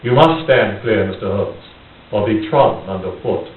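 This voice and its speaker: A man acting a menacing, courteous criminal mastermind in radio theater, speaking in a formal, deliberate, coldly polite way. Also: lowish voice, natural voice. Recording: tinny, hissy, roomy, distant microphone.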